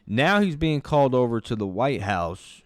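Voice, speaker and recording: A man's voice: medium pitch, very animated, moderate pace, no room sound, studio quality. A man hosting a reaction video, talking in loose, profane, rambling commentary with lots of restarts. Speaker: commentary channel host.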